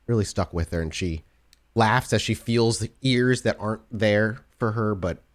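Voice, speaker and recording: A man's voice: fairly low pitch, moderate pace. A man working as game master, improvising narration and talking rules in a tabletop roleplaying game.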